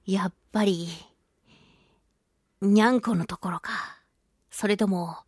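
A woman's voice: medium pitch, fairly even intonation, slow pace, darkish tone, breathy edge, no echo, studio quality. A woman hosting a casual solo radio talk, chatty and giggly, playfully slipping into mock-polite phrases as she narrates. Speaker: radio show host and voice actress.

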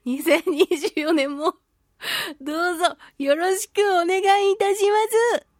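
A woman's voice: very high voice, measured pace, no room sound, clean studio sound. A woman hosting a solo radio show, reading listener mail in a chatty, giggly, playfully gushing way. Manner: playful, gushing, with exaggerated pleading; chatty, giggly, reacting aloud to what she reads.

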